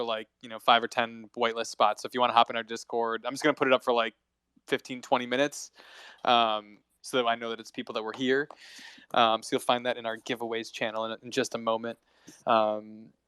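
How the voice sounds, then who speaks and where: An upbeat, chatty man in his late thirties, talking as a guest on a live audio call. His voice is smooth and clear, recorded dry and close with no room sound. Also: medium pitch, fairly bright tone, no room sound. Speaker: guest from a movie club project.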